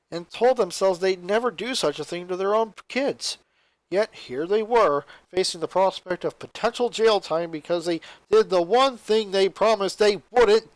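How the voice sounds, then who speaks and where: A man's voice: sits high, no echo, poor audio. A man reading a piece of fan fiction aloud as narrator, in scripted story style.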